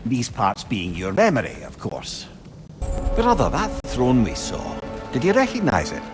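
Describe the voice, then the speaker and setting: Lively, wry Scottish companion. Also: male voice, medium pitch, a little slow, over music. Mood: curious, wry. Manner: eloquent, wry, earnest. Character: wry, talkative male counsellor and loyal companion. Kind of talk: video game cinematic acting.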